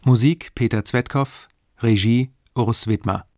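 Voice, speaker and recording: A man's voice: mid-range voice, measured pace, heavy background noise. A man reading scripted opening credits as the announcer of a radio play.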